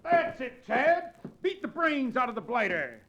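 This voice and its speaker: Very high-pitched older comic sidekick. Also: male voice, sing-song, unhurried, dull audio, heavily acted. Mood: indignant, blustering. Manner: florid, theatrical, mocking. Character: flamboyant older male sidekick, comic snake-oil peddler. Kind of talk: old-time Western radio drama acting. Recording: noisy old-time radio recording.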